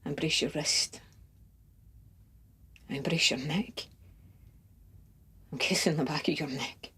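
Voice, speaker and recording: Woman playing a husky, breathless, lovestruck suitor. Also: fairly low pitch. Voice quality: husky, breathy, often whispering. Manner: impassioned, in short repeated phrases. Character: lovestruck, tormented, tongue-tied poetic suitor. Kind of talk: dramatic stage monologue.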